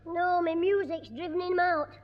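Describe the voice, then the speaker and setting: A cheeky schoolboy with a piping, very high voice. The delivery is mischievous and full of wisecracks, like a 1960s radio sitcom. It sounds dull and echoey, from an old broadcast. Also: male voice, fairly even intonation, moderate pace. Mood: grumbling.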